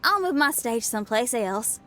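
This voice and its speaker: A spirited rock musician. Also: female voice, on the high side, big pitch swings, normal speed, a bit bright, faint music behind. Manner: confident, spirited, cheeky. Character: bold young woman rock musician. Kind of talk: game cutscene dialogue.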